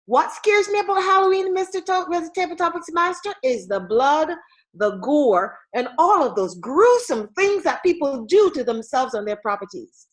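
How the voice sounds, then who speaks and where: A woman from Barbados, giving a formal, measured impromptu club speech with dramatic emphasis over a video call. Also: high-pitched, lively intonation. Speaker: Toastmasters speaker.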